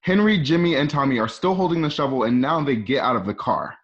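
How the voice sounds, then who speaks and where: A man's voice: medium pitch, lively intonation, fast talker, highs cut off. A man reading stage directions for a radio drama in a conversational way, with casual asides. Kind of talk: informal scripted narration for radio drama.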